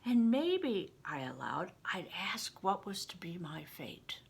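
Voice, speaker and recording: Older female storybook reader: slow, very animated pitch, deliberate dramatic stress. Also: vocal fry, slightly rough. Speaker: audiobook reader, retired teacher. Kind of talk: expressive read-aloud of a children's novel.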